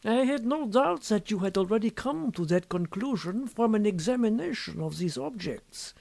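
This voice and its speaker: A man voicing a sly, impassive, secretive scholar in an audiobook story, with measured, deliberate and formal phrasing. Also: high voice, lively intonation, creaky, a little mellow, dry recording, voice pushed up. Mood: smug, secretive. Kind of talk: audiobook character dialogue.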